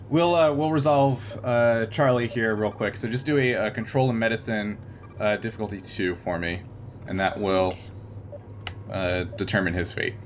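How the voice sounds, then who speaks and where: A man acting as game master of a casual tabletop sci-fi game, explaining things in a halting, hesitant way. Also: lowish voice, steady tone, slight background noise.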